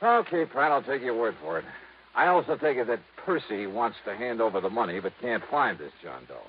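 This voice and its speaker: An actor with an American accent playing a wry, self-assured hardboiled insurance investigator in a 1950s radio detective drama. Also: male voice, mid-range voice, very animated, fairly quick, natural voice. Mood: skeptical, breezy. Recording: muffled, hissy old radio recording.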